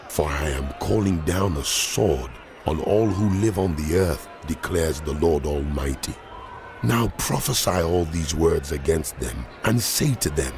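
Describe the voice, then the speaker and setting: Very deep, wrathful God over music. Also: male voice. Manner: solemn, deliberate, commanding. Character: wrathful, commanding God of Israel pronouncing judgment. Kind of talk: dramatized scripture reading. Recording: music behind the voice.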